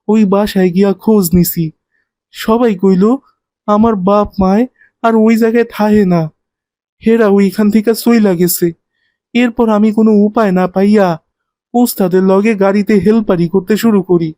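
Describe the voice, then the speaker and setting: A poor abandoned street boy played by an actor in a cartoon. He speaks a rustic Bangladeshi dialect in a humble, plain way, with a mellow, dry sound. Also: mid-range voice, no room sound, close to an everyday voice. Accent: colloquial rural Bangladeshi dialect. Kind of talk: cartoon voice acting.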